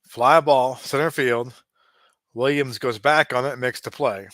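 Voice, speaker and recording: A man in his late forties doing casual play-by-play of a simulated baseball game, relaxed and chatty with frequent asides. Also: medium pitch, unhurried, no room sound. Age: 40s. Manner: relaxed, chatty, given to asides and small restarts.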